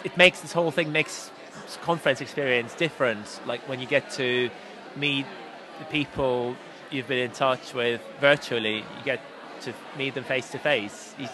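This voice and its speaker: A man's voice: medium pitch, moderate pace. A man who presents at a teaching conference, talking loosely in an interview and hedging with "sort of" and "like". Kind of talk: casual interview answers. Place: busy conference venue. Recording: crowded hall chatter.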